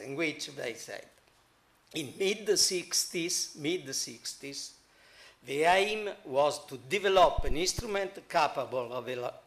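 A middle-aged man with an Italian accent giving an academic conference talk in a hall, halting and full of fillers. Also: mid-range voice, a bit bright, a little hiss or hum. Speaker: conference speaker.

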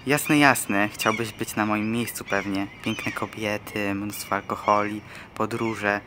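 Young man as low-voiced, sneering embodiment of envy. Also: steady tone, measured pace, background noise, close to an everyday voice. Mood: scornful. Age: young adult. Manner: mocking, scornful. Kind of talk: amateur radio play acting.

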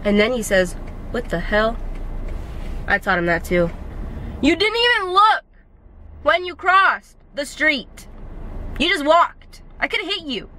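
A woman's voice: high voice, big pitch swings, slow pace, pauses often, sharp tone, slight background noise, rough recording. A young woman filming a casual vlog while driving, talking animatedly and scolding other drivers in mock road rage, recorded inside a car. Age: young adult. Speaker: vlogger. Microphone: dashboard camera mic.

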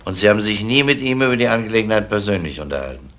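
An actor playing a courteous, matter-of-fact police investigator questioning people in a crime radio play. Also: male voice, on the low side, sing-song, rapid-fire, a bit bright, a little hiss or hum. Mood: courteous, inquiring. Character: polite, probing male police investigator.

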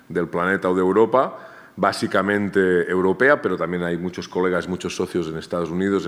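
A man's voice: sits low, a bit bright. A man with a Catalan accent giving an academic seminar talk in a university room, often restarting and repeating his words. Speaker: academic speaker. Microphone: table microphone, far camera.

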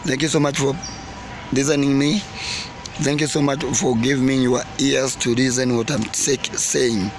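A man's voice: medium pitch, level tone. Man vlogging outdoors over traffic noise. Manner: halting, emphatic, repetitive phrasing. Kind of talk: opinionated war news commentary to camera. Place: outdoors by parked cars and trees. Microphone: phone or camera at arm's length. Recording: noisy outdoor recording with traffic ambience. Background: outdoor ambience and vehicles.

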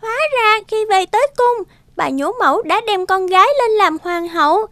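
A woman's voice: very high-pitched, normal speed, bright, forceful tone, no echo, exaggerated voice. A woman voicing a small, loyal talking dove messenger in a cartoon fairy tale, earnest and respectful. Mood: earnest, reassuring.

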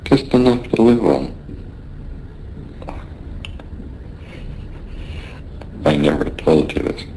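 An actor playing a dying, wistful seasoned sailor in a radio drama, talking haltingly and wearily in a weak, gravelly voice. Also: male voice, animated, mellow. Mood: weary, confiding. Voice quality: gravelly, creaky. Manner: halting, weary, drifting. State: weak, failing. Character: dying, wistful seasoned American sailor reminiscing to his mate. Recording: noisy radio drama with rumbling ambience.

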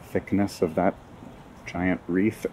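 Deep-voiced man guiding a park walk. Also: takes it slowly, a little hiss or hum, low-quality recording. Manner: relaxed, wry asides to viewers. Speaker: walking tour guide. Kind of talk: casual narrated walking tour. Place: outdoors in a city park. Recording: faint outdoor ambience.

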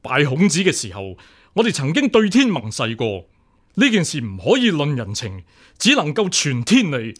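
A man's voice: fairly high pitch, wildly swooping pitch, normal speed. A male actor with a Hong Kong Cantonese accent playing an upright, stubborn deputy examiner, earnest, formal and insistent, in a radio drama. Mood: suspicious, firm.

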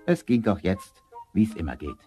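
A man's voice: low voice, expressive, normal speed, warm tone, soft background music. A man narrating a German fairy tale as a scripted storyteller.

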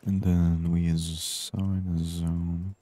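A man doing soft-spoken ASMR game commentary, murmuring and unhurried as he reads aloud. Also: deep voice, little pitch movement, no room sound. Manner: murmured, unhurried, reading on-screen text aloud. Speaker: gaming channel host.

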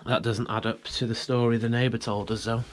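A young British man quietly narrating as he walks through an abandoned house, musing and sometimes half-whispering, recorded on a handheld camera indoors. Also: medium pitch, little pitch movement, brisk. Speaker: urban explorer vlogger. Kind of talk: casual walkthrough commentary. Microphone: handheld action camera.

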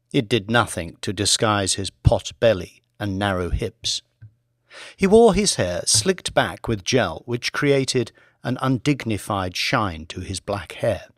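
A man's voice: fairly low pitch, a bit bright, dry recording, clean studio sound. A man reading scripted fiction as an audiobook narrator, with dramatic storytelling delivery.

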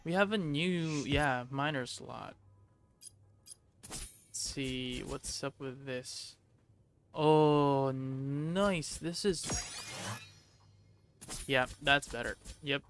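Slow-paced, casual male gamer. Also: mid-range voice, a bit bright. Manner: muttering to himself, repeating words. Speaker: gaming video creator. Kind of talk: casual let's play commentary. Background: game music.

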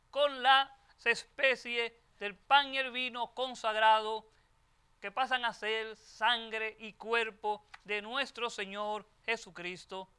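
Older male priest, high bright timbre, preaching a homily into a lectern mic in a chapel. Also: clear, resonant tone. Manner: measured, emphatic preaching with repeated phrases. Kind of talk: Gospel reading and homily at Mass. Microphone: lectern microphone.

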